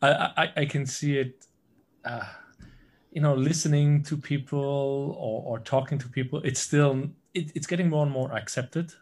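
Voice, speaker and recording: A man hosting a podcast interview, asking his questions in a hesitant, halting way with repeated words. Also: medium pitch, steady tone, darkish tone. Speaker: podcast host. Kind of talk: interviewing, casual chat.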